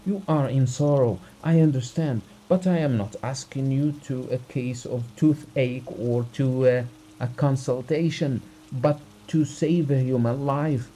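A man voicing a gentleman who begs a doctor for help in an imploring, flowery, stilted storybook manner. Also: medium pitch, lively intonation, a little slow, few pauses, slight background noise, pitched above the performer's own voice, close to an everyday voice, rough recording. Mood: pleading. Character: well-off gentleman desperately begging a doctor for help.

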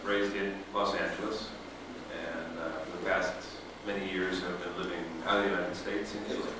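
A man's voice: sits low, level tone, brisk. A man from Hollywood on a convention panel, introducing himself with dry humor. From Hollywood, California. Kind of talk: casual panel chat. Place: large hotel function room. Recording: echoey room, distant mic, loud hiss.

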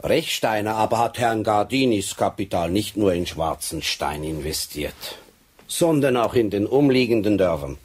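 An actor playing a methodical police sergeant in a radio crime drama, speaking deliberately and insistently with a husky voice. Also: male voice, on the low side, animated. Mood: methodical, pointed. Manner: deliberate, insistent, explaining step by step. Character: methodical Swiss police sergeant laying out a case.

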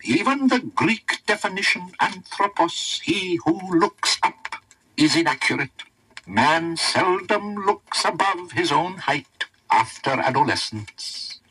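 A man's voice: high-pitched, very animated, takes it slowly, pushed and bright, slightly rough, pitched above the performer's own voice, full character voice, rough recording. A man voicing a learned, opinionated old wizard tutor, lecturing pedantically in a storybook reading. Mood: dry, contrary.

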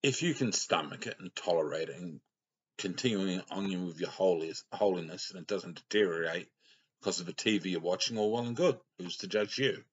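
A man's voice: low-pitched, a little fast, slightly rough. A middle-aged man giving a rambling, plainspoken sermon to a webcam in a small room, often correcting himself as he talks. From Australia. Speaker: lay preacher. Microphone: webcam close up. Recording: dull lo-fi webcam audio.

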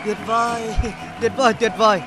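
A man's voice: extremely high pitch, flat delivery, moderate pace, over music, crowd noise, poor audio. A man voicing a jovial, vain company boss in a cartoon, effusive and repeating his words. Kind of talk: cartoon comedy acting.

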